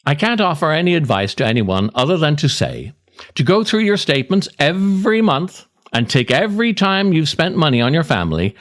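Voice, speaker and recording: A middle-aged man reading a scripted comic monologue as a podcast host, in a wry, self-deprecating way with theatrical pauses. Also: fairly high pitch. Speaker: podcast host, writer reading own essay.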